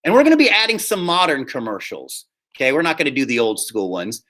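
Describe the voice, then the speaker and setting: A man speaking as a high school drama teacher, explaining things informally and often checking in with his students. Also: big pitch swings, fast talker, a bit bright. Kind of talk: informal classroom instruction.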